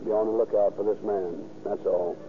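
A man's voice: lowish voice, fairly even intonation, normal speed, narrow, tinny audio, a little hiss or hum, close to an everyday voice. A man playing a terse, official police dispatcher reading a bulletin in a 1930s radio drama, on an old radio recording. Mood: official, flat.

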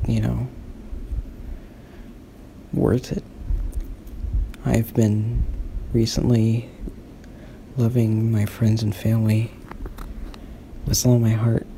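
A young man recording an earnest voice memo on his phone, speaking in a slow, measured way. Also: medium pitch, vocal fry, dark tone, slight background noise, low-quality recording. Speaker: listener sending a voice memo. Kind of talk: casual voice memo.

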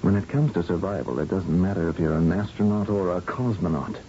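A man's voice: low-pitched, a little fast, mellow, lightly acted. An actor playing a grave, matter-of-fact male astronaut of the far future in an old-time radio drama. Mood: brisk, purposeful. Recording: dull, noisy old radio recording.

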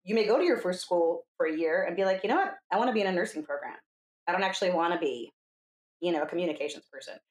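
A woman's voice: lowish voice, animated, rapid-fire. An American woman of fifty from New Hampshire, telling stories casually and candidly and acting out quoted dialogue. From New Hampshire, USA. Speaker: podcast guest, coach. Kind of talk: casual conversational storytelling. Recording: roomy, echoing sound.

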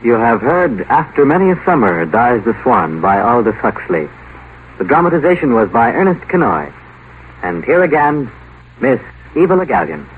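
A man's voice: mid-range voice, sing-song, moderate pace, slight background noise. An American man reading scripted announcements as a 1950s radio announcer. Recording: tinny 1950 radio broadcast.

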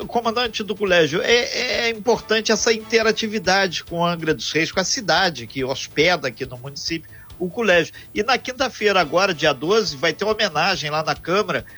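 A man's voice: on the high side, pushed and bright. A male radio talk show host who speaks like an announcer, giving the time and introducing his guest.

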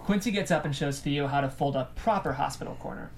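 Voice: medium pitch, quick pace, slight background noise. A narrator reading scripted stage directions aloud at a table read.

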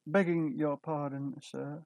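A man's voice: a little high, steady tone, unhurried, creaky, darkish tone, dry recording. A man acting a prim, flustered hotel desk clerk in a radio play, deferential and fussy. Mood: stiffly deferential. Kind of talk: old-time radio drama acting.

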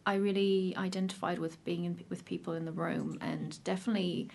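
A woman with an Irish accent, probably in her thirties, sharing her personal recovery story in a casual, hesitant way with many pauses and restarts. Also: lowish voice, little pitch movement, vocal fry, darkish tone. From Ireland.

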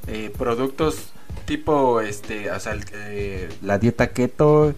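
A man's voice: on the low side, takes it slowly, a little mellow, faint music behind. A man with a Mexican Spanish accent, co-hosting a casual chat, hesitant and filler-heavy, laughing easily. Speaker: co-host. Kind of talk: casual banter.

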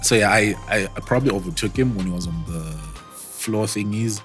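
A man, a podcast guest and DJ, chatting casually in a relaxed, rambling way that often stumbles over words. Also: fairly low pitch, fairly quick, faint music behind. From Zimbabwe. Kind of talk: casual chat.

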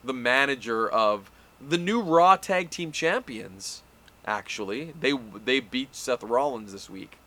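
A man's voice: mid-range voice, expressive, slow, bright, forceful tone. A man co-hosting a casual chat, recapping events in a rambling way with plenty of fillers. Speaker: podcast co-host.

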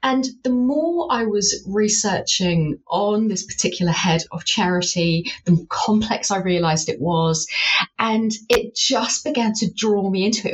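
A woman with an English accent, a law academic talking through her research in a lively, self-deprecating way with frequent restarts. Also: mid-range voice, poor audio. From England. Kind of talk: conversational interview, explanatory.

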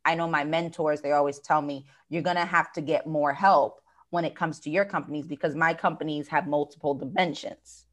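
A woman's voice: low voice, fairly even intonation, a little fast, hardly any pauses. An American woman business owner talking through her work in an interview, thoughtful, with many fillers and restarts. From United States. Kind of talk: conversational interview.

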